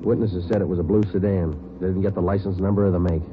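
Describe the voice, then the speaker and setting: Actor playing a deadpan cop, low and quick, tinny hissy old radio. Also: male voice, lightly acted. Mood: terse. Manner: clipped, flat, matter-of-fact. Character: terse, deadpan police sergeant. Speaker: homicide detective sergeant and narrator. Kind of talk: 1940s radio acting.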